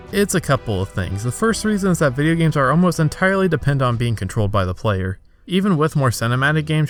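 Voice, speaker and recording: A man narrating a scripted comedic video review in a sarcastic, exasperated way. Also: mid-range voice. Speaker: video essay host. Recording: background music under voice. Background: music.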